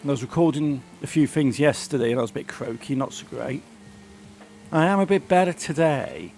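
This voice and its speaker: A man talks through a racing game in a relaxed, chatty commentary. His voice is croaky and gravelly from a sore throat. Faint game music plays underneath. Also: mid-range voice, a little fast. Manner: chatty, grumbling about the game. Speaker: gaming channel host. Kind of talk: casual gameplay commentary.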